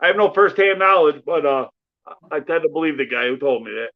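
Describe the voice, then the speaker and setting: A man's voice: fairly high pitch, expressive, a little fast. A man on a livestream panel, hesitant in casual chat and filling gaps with ums. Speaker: panel guest. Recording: dull lo-fi stream audio.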